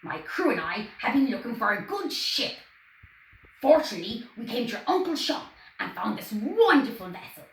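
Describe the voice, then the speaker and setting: A woman's voice: on the high side, very animated, moderate pace. A woman voicing a tiny, proud male pirate captain for a children's story, declaiming grandly and giving orders. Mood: hearty. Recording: roomy echo, clear background noise.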